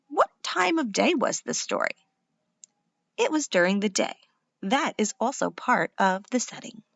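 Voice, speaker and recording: A woman's voice: fairly high pitch, dry recording, lo-fi sound. A woman giving a read-aloud lesson for children as a teacher, instructive and asking questions.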